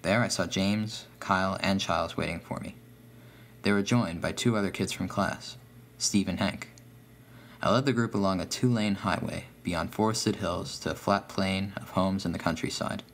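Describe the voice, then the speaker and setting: A man's voice: sits low, steady tone, moderate pace. A man reading a scripted first-person horror story as its narrator.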